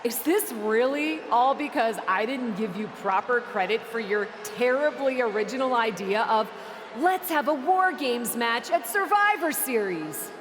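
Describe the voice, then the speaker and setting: A scheming female wrestler, smug and full of sarcasm, her pitch swinging in a lively way as she draws her words out slowly. She sounds like she is in a long, echoing space, with clear noise behind her in the game mix. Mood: sarcastic. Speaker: video game character, outgoing general manager. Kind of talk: video game cutscene acting.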